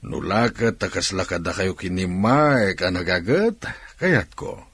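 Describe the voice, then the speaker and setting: A no-nonsense, approving middle-aged boss in a radio drama. His voice is low and husky, with animated rises and falls. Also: a little slow, dry recording, exaggerated voice. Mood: agreeable, firm.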